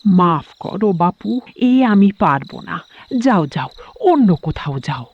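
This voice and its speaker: A woman voicing a stingy old village woman in a cartoon moral story, with an expressive, dramatic delivery. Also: fairly low pitch, takes it slowly, breathy edge, dull audio, exaggerated voice. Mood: curt, dismissive.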